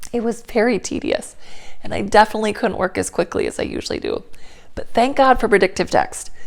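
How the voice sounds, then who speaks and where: A woman, slightly hoarse as her voice returns after laryngitis, giving viewers a candid, earnest personal update. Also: on the high side. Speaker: inspirational speaker and channel host.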